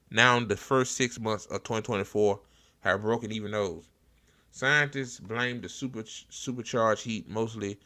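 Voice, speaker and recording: A man hosting an online talk show, reading news articles aloud haltingly with stumbles and repeats and adding informal, salty asides. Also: lowish voice, sharp tone.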